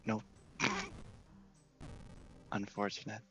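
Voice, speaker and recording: A man giving casual, understated ASMR gameplay commentary in a breathy half-whisper close to the mic. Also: on the low side, huge pitch swings, extremely slow, over music. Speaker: video game player and host.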